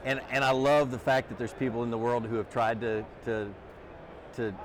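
A middle-aged man with a loud voice, talking earnestly as a podcast guest and repeating words as he thinks. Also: medium pitch, fairly even intonation, normal speed, slight background noise. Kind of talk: casual interview conversation. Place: trade show booth, outdoors.

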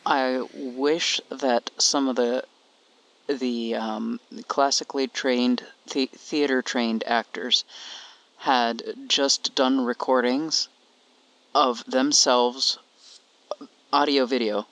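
Casting: male co-host, unhurried and halting, middle register, informal conversational delivery. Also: medium pitch, fairly even intonation, a bit bright, no room sound, low-quality recording. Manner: halting, thinking aloud. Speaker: podcast co-host. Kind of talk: casual chat.

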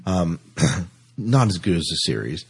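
Very deep, husky male podcast host. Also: big pitch swings, slow pace. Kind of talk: casual fan discussion of sci-fi TV.

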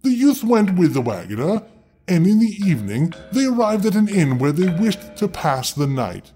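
A man's voice: high-pitched, very animated, normal speed, dark tone, slightly rough. A man narrating a fairy tale as a storyteller, theatrical and impish in his delivery. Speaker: storyteller, host. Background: music.

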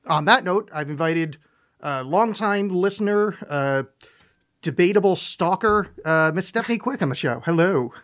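A man's voice: on the high side, a bit bright. A man hosting a podcast in casual chat, joking and self-deprecating as he rambles. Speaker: podcast host.

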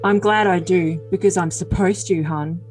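A woman's voice: fairly low pitch, steady tone, moderate pace, darkish tone, close to an everyday voice, rough recording. Woman as earnest, possessive boyfriend over music. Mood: solemn, possessive. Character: possessive, earnest young boyfriend, calls partner dear. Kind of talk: dramatic comedic reading of text messages.

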